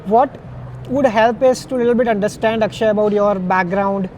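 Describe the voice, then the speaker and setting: Guy doing an interview, pretty high voice, chatty and affirming, bit of city noise behind him. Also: moderate pace, a little mellow. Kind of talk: conversational interviewing.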